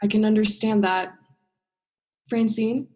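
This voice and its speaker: A woman's voice: mid-range voice, fairly even intonation, slow pace, dark tone, lightly acted. A young woman playing an earnest, exasperated human heroine in a radio play, with a direct, emotional delivery. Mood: disbelieving. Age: young adult. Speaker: drama student actor.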